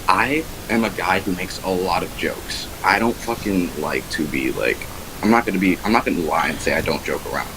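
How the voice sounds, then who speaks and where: Young male, lowish voice, defensive casual talk, insistent, clear hiss, archived call recording. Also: expressive, a little fast. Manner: insistent, self-justifying.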